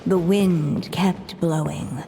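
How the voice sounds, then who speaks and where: A woman voicing a gentle, unhurried storybook narrator in a video game, reading in a scripted storytelling style. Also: fairly low pitch, steady tone, creaky, background noise, heavily acted. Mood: calm, storytelling. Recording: long reverb, music bed underneath.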